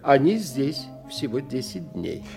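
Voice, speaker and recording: An actor playing a learned older professor in an audio drama, lecturing in a theatrical, condescending manner. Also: male voice, mid-range voice, big pitch swings, measured pace, creaky, over music. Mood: genial, gossipy. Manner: didactic, theatrical, condescending to his young friend. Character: animated, learned older professor investigating psychic phenomena.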